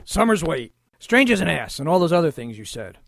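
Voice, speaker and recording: An actor playing an impatient, commanding police lieutenant in an audio drama, gruff and demanding. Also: male voice, a little high, very animated, brisk, a bit bright, dry recording, full character voice. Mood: firm.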